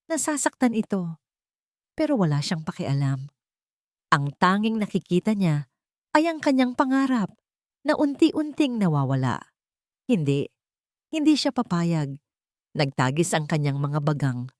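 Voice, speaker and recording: A woman with a fairly low voice narrates a romantic drama. She delivers it in measured, suspenseful phrases like an audio storybook. The sound is dry, with hardly any room in it. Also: sing-song, darkish tone, dry recording.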